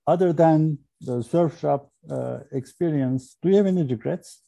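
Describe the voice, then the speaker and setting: A man's voice: fairly high pitch, normal speed, creaky, warm tone. A male interviewer who asks questions hesitantly, with filler sounds.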